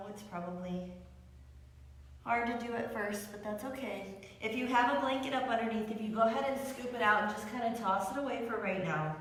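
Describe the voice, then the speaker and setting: A woman with a raspy voice, tired after many classes, guiding a beginner yoga class with upbeat, encouraging cues. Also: fairly high pitch. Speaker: yoga instructor. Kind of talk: guided yoga class instruction. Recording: echoey room with long reverb, voice from far off the mic.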